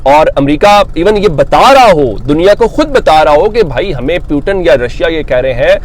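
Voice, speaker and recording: A man's voice: on the high side, expressive. A man delivering unscripted news commentary as a host, explaining step by step and posing questions that he then answers himself. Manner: explanatory, reads English quotes slowly then paraphrases them; poses rhetorical questions and answers them.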